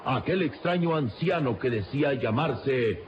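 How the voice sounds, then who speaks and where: A man's voice: mid-range voice, animated, normal speed, a little hiss or hum. A man narrating a radio serial from a script in a dramatic style. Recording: dull lo-fi radio serial recording. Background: loud music.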